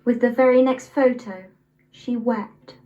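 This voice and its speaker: A young woman of eighteen, reading scripted poetry in a measured, deliberate way. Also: fairly high pitch, fairly even intonation, dark tone, muffled recording. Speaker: poetry reader.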